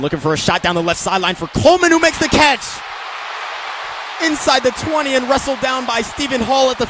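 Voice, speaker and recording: High-pitched American sportscaster over loud ambient noise. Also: male voice. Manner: clipped, descriptive announcer phrasing. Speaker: play-by-play radio announcer. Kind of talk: live American football radio commentary.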